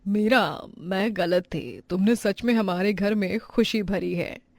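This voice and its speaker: Proud, stern older matriarch of a rich house, played by a woman, measured delivery, dry close recording, storybook drama. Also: medium pitch, dry recording. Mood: softened, remorseful. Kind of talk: dramatized Hindi moral story acting.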